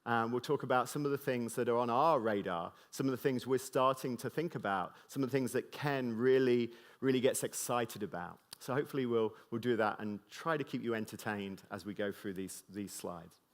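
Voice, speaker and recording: A man's voice: medium pitch, a little fast, hardly any pauses. Joking British man giving a keynote. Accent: British English with a slight mid-Atlantic tinge. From Britain. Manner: joking and loose, with many fillers and restarts. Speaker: conference keynote speaker. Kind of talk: conference talk to a live audience.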